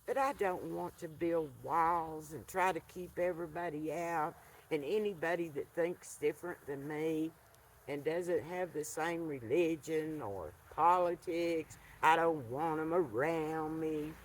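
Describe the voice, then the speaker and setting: A woman, talking earnestly to a camera by an outdoor fire pit, soft-spoken and halting. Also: low voice, poor audio. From rural Appalachian North Carolina. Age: 70s. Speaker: vlogger. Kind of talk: reflective monologue to camera.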